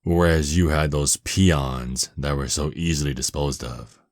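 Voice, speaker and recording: A man performs an ancient vampire lord in an ASMR roleplay, his voice extremely deep and recorded close and dry. He takes his time over each phrase, condescending and teasing. The temper is dominant, smug and seductively menacing. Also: steady tone, measured pace, dry recording. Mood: taunting.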